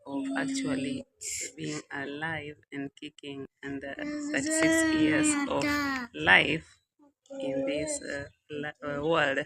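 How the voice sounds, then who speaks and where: A woman's voice: low-pitched, very animated, slow, gravelly, heavy background noise. A woman in her thirties vlogging outside a small villa, reflecting aloud in a hesitant, rambling way, with many "uh" fillers and repeated phrases. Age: 30s. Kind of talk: reflective casual monologue.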